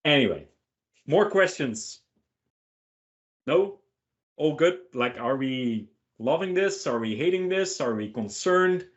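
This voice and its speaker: A man's voice: fairly high pitch, expressive, takes it slowly, pauses often, muffled recording. A man giving a casual online tech talk and answering questions in an informal, joking way with short emphatic phrases. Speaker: featured speaker answering questions.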